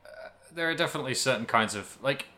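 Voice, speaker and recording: A man's voice: medium pitch, lively intonation, fast, bright, forceful tone. A man co-hosting a podcast, chatting casually about video games. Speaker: podcast co-host.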